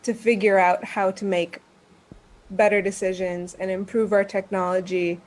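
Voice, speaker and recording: A woman's voice: medium pitch, little pitch movement, moderate pace, a little mellow, poor audio. A woman speaking as a guest expert, giving earnest explanatory answers in an interview. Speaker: guest expert on environmental communication.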